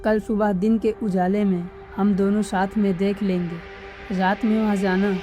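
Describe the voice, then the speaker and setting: A woman's voice: mid-range voice, little pitch movement, measured pace, warm tone, soft background music, lightly acted. A woman voicing a timid, superstitious young village man who cautions with worry, in cartoon story dialogue. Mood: worried warning. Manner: worried, cautioning.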